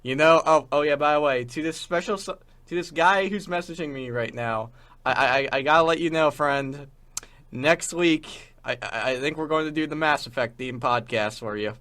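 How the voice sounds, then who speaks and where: A man hosting a casual radio show in a halting, joking manner. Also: on the high side, a bit bright. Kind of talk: casual chat.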